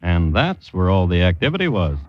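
Guy narrating old radio show, wry n formal, really varied pitch, muffled recording. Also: big pitch swings, fairly quick. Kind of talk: 1950s radio scripted narration.

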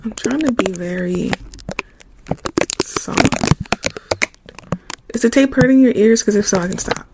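A young woman with a naturally quiet, soft voice chatting casually with viewers on an ASMR livestream, close to a taped microphone. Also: mid-range voice, gravelly. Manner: chatty, answers viewer comments, trails into whispers. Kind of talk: casual live-stream chat with viewers. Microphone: close mic with tape on it. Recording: faint rustling noise from taped mic.

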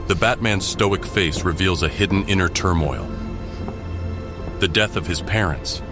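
A man narrating an audio drama in a measured, dramatic style. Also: low voice, over music.

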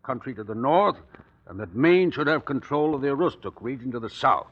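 Husky hearty old general, radio drama. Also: male voice, medium pitch, lively intonation, brisk. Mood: hearty, earnest. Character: hearty, loyal older American army general. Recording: dull vintage radio recording.

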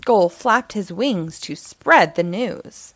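A woman reading a rhyming children's picture book aloud in an expressive storytelling style. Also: medium pitch, slow, dry recording.

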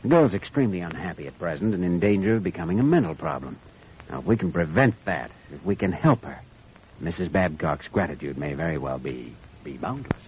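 A scheming younger doctor in a vintage radio comedy-drama. His lowish voice moves in lively curves, reassuring and wry. The broadcast sounds dull and old. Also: a little fast, vocal fry, slight background noise, full character voice. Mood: persuasive.

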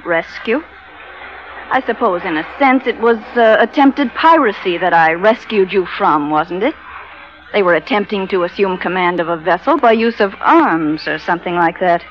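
A woman's voice: mid-range voice, lively intonation, moderate pace, natural voice. A woman playing a cool, coquettish, scheming femme fatale in an old radio drama, arch and sweetly coy. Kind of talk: 1940s radio drama acting. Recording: muffled old radio recording.

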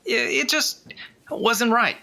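An actor in an audio drama playing a shy young male guitarist who speaks in a halting, stammering, apologetic way. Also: very high voice, creaky, sharp tone, heavily acted. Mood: hesitant. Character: shy, hesitant young male guitarist, quietly obsessed with music. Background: guitar music.

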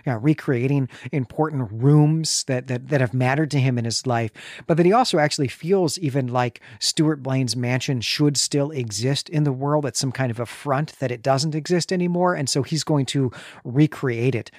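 A man's voice: mid-range voice, moderate pace, few pauses, a little mellow, dry recording, studio quality. A man co-hosting a podcast, talking through a book casually in rambling, conversational sentences. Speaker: podcast co-host.

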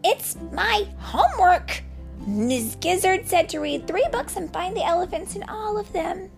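A woman's voice: very high-pitched, animated, measured pace, creaky, soft background music, voice pushed up, full character voice. A woman voicing an eager, cheeky young chicken child in a picture-book read-aloud, exclaiming and wheedling. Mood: pleading.

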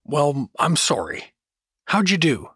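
Actor playing fumbling everyman dad, animated delivery. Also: fairly high pitch, takes it slowly, breathy edge, no echo, studio quality, full character voice. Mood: apologetic, awkward. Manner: hesitant, fumbling, apologetic. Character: Doug, well-meaning but clueless everyman dad turned adventurer. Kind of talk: fantasy audio drama acting.

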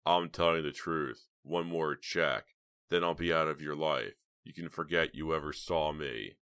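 A man's voice: extremely low pitch, fairly even intonation, unhurried, bright, forceful tone, clean tone, dry recording, clean studio sound, heavily acted. A man playing a smug, menacing blackmailer in an audiobook story, needling and unruffled in manner. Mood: calm, menacing.

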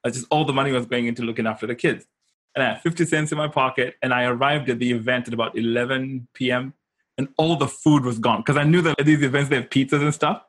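A man speaks as an interview guest at a middling pitch. He tells personal stories informally and emphatically, often checking that the listener is following. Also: fairly even intonation, fast, a bit bright. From Zimbabwe. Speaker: interview guest, consultant and coach. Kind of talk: animated personal storytelling in casual conversation.

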